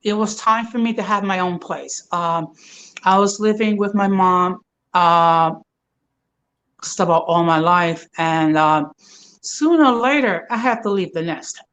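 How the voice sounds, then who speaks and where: A man's voice: high voice, a little slow, a bit bright, clean tone. A man speaking as a guest on a livestream, hesitant and full of fillers. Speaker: guest on a livestream talk show.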